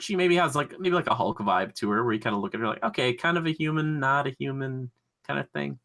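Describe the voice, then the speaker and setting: A man with a bright, forward voice and a middling pitch hosts a casual chat show about pop culture. He talks in an animated, rambling flow and leans on dry sarcasm. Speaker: podcast host.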